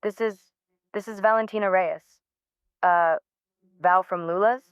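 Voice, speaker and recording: A woman's voice: mid-range voice, steady tone, a little slow, clear, resonant tone, dry recording, phone-quality sound, close to an everyday voice. A woman playing a casual, awkward teenage girl with a hesitant way of talking in an audio drama. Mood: nervous.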